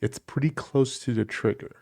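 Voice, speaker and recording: A man's voice: mid-range voice, normal speed, gravelly, dark tone, no echo, clean studio sound. A man co-hosting a podcast in casual, conversational back-and-forth. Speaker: podcast co-host. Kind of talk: casual chat.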